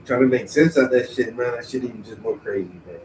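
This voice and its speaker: A man thinking aloud in a relaxed, rambling way as he paints, sounding stuffy and tired with a cold. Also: mid-range voice, fast talker, far from the mic, slight background noise, poor audio. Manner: laid-back, rambling, thinking aloud, swears casually. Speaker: painter streaming while painting. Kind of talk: casual talk to himself while painting.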